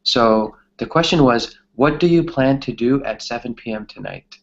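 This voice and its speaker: A man's voice: mid-range voice, normal speed, lo-fi sound. A man teaching a conversational English grammar class, often checking in with a questioning tag as he explains.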